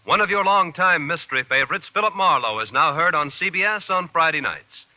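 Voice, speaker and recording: Highish-voiced vintage American radio announcer man. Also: animated, brisk, a little hiss or hum. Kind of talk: 1940s-50s radio promo announcing. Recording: muffled old radio broadcast recording.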